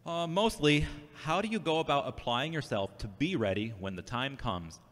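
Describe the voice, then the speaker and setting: A man's voice: mid-range voice, lively intonation, moderate pace, fairly bright tone, natural voice. A man playing a polite, self-doubting grown son in an audio drama, plain and understated. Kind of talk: amateur audio drama acting. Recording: long hollow reverb.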